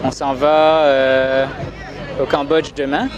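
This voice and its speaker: Calm French man on a busy street. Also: medium pitch, slow pace. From France. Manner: calm and matter-of-fact, with frequent fillers. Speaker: interview guest, traveling father. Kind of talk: casual conversation. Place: busy city street outdoors. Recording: loud street crowd noise. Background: crowd and traffic.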